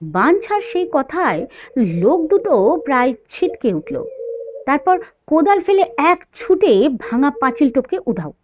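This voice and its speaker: A woman's voice: high-pitched, big pitch swings, a little slow. A woman reading a story as a dramatised, scripted narration. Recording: dull, faint noise.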